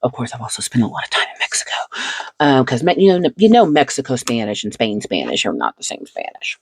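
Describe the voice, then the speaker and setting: Woman, husky tone, dry close sound, chatty, reflective, laughs a lot, seated at her cards. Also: very deep voice, wildly swooping pitch, quick pace, dry recording. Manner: chatty and reflective, laughs often between thoughts; seated, talks while handling cards. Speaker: channel host. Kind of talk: casual chat while sleeving cards.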